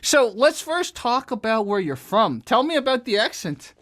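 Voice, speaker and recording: A man's voice: extremely high pitch, very animated, normal speed, a bit bright. Enthusiastic male fight channel host. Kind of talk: casual interview.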